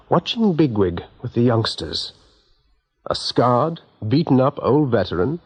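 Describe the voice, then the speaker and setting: A man giving expressive, measured narration for a radio drama, with a husky voice. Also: medium pitch, muffled recording. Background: soft music.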